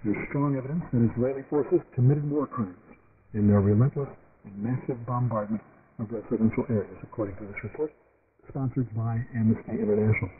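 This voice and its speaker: A man's voice: mid-range voice, brisk, narrow, tinny audio. Crackly thin shortwave radio, loads of noise, guy reading the news off a script like a radio host. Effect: shortwave radio transmission. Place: radio studio, heard over shortwave. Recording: noisy band-limited shortwave radio broadcast.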